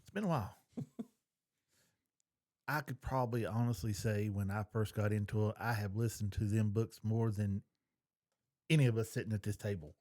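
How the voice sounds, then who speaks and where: A man's voice: fairly low pitch, normal speed, pauses often, a little mellow. A man co-hosting a podcast in casual chat, with a folksy, colloquial way of talking. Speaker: podcast co-host. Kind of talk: casual chat about books and shows.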